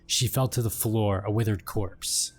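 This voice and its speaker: A man giving a solemn, portentous scripted narration of dark fantasy lore. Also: lowish voice, fairly even intonation, measured pace. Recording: background music under the voice.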